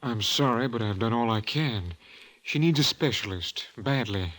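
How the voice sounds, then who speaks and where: An actor in 1940s radio drama, playing a grave, regretful family doctor who speaks in a measured, solemn way. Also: male voice, medium pitch, animated, a bit bright, lightly acted.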